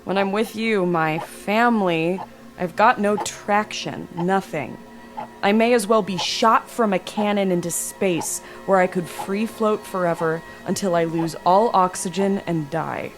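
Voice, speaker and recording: A woman's voice: medium pitch, a little slow, faint music behind, natural voice. An actress playing a frustrated, defiant working woman and daughter in a stage drama, with an intense, emphatic manner. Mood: bleak. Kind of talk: stage play acting.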